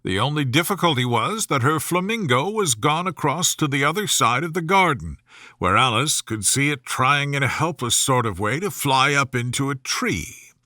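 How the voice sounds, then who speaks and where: A man's voice: mid-range voice, animated, normal speed, bright, forceful tone, no room sound, studio quality. A man reading a children's story as a soothing, scripted bedtime narration.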